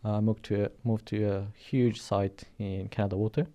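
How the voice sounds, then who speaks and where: A man's voice: fairly low pitch, flat delivery, normal speed, dark tone. A man from Romania with a Romanian accent, chatting as a podcast guest and telling stories about his career, often restarting and adding "right?". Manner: chatty, storytelling, frequent restarts and "right?" tags. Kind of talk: casual interview chat.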